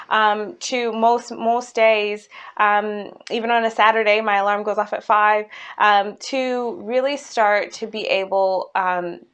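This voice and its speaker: A woman speaking as a company CEO, telling a personal story in a promotional talk, earnest and halting, with stress on key words. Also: mid-range voice.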